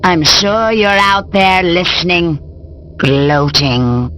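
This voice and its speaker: A woman's voice: fairly low pitch, takes it slowly, a bit bright, slight background noise. A woman playing a mocking, theatrical secret-agency villain in a video game, with grandiose, sardonic delivery. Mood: sardonic taunting. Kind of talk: video game cutscene acting.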